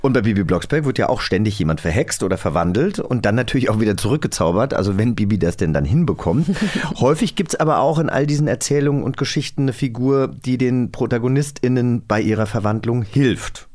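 Give me a chatty man hosting a casual podcast, mid-pitched and enthusiastic. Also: fairly quick, few pauses, a little mellow. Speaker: podcast host. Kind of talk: casual chat.

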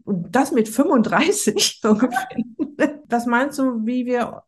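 A woman's voice: on the high side, expressive, unhurried, slightly rough. A woman, hosting a podcast and interviewing a guest in an upbeat, chatty way. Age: 50s. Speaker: podcast host.

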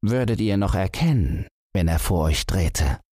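A man's voice: sits low, animated, a little slow, warm tone, breathy edge, dry recording, studio quality, full character voice. A man voicing a clever grown son who has become a proud master thief. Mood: probing.

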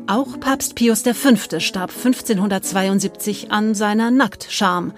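A woman with a mid-pitched voice reads as a narrator, in a scripted storytelling style suited to history. Faint plucked guitar plays beneath her. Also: measured pace. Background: soft plucked guitar music.

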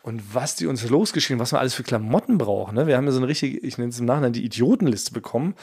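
A man's voice: mid-range voice, racing, darkish tone, breathy edge. A man from Germany co-hosting a podcast in casual comic chat, playfully exaggerating and using colloquial slang. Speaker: podcast co-host.

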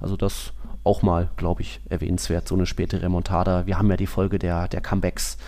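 A man chatting on a football podcast in a mellow, dark tone with a gravelly edge. He rambles and corrects himself mid-sentence, filling gaps with little hesitation sounds. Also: low voice, little pitch movement, a little fast. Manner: rambling, self-correcting analysis with many fillers. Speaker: podcast co-host. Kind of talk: casual football podcast chat.

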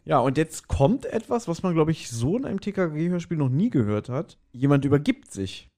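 A man's voice: a little high, sing-song, moderate pace, dark tone. A man with a German accent co-hosting a podcast in casual chat about an audio drama, often hesitantly searching for words. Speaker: podcast co-host.

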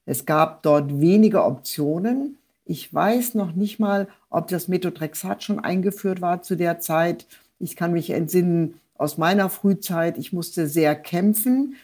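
An older woman, a rheumatology professor, giving reflective expert commentary, deliberate and repeating words for emphasis. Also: on the low side, darkish tone.

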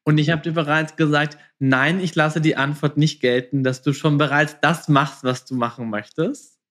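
A man's voice: on the high side, fairly even intonation, normal speed, a bit bright. A man hosting a casual interview, speaking informally and mixing in English words. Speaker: podcast host, interviewer.

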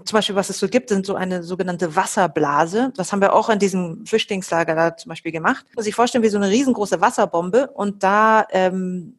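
Woman logistician, podcast guest, mid pitch, energetic explainer with fillers. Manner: lively explaining, many fillers and restarts. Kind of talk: podcast conversation.

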